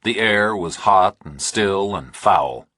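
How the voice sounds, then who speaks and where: A man narrating a thriller audiobook in a measured, precise, deliberate way. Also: sits low, animated, bright, forceful tone, no room sound.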